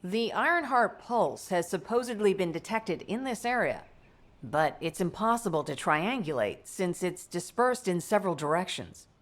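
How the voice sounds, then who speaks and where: An actress playing a composed, scholarly female doctor and mother in a video game, speaking in a measured, articulate, matter-of-fact way. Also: on the low side, sing-song. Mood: composed, analytical. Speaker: medical doctor character. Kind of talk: scripted game dialogue, explanatory briefing.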